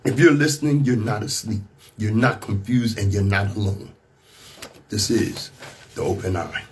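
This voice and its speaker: A man with an American accent and a slightly rough voice, hosting a radio talk show with emphatic, rhetorical commentary. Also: fairly low pitch, moderate pace, a little hiss or hum. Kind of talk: radio monologue mixing scripted commentary and casual asides.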